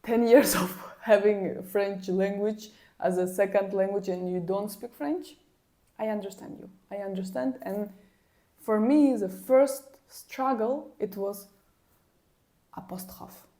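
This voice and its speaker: Slow-talking woman. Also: medium pitch. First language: Kyrgyz. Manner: animated and exasperated, repeats words for emphasis. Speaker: language vlogger. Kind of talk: casual personal storytelling to camera.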